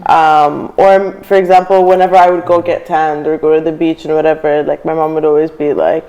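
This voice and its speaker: A woman's voice: deep voice, little pitch movement, a little fast, warm tone, muffled recording. A young adult woman from Syria, a panel guest who tells personal stories in a chatty way with many ums and frequent laughter. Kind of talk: casual panel discussion.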